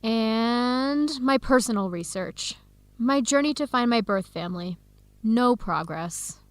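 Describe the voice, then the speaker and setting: An actress playing an earnest young American woman researcher in a radio drama, with clear, expressive, carefully enunciated speech. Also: fairly high pitch, slow pace, no echo. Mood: earnest, explanatory. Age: young adult. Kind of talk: scripted radio drama dialogue.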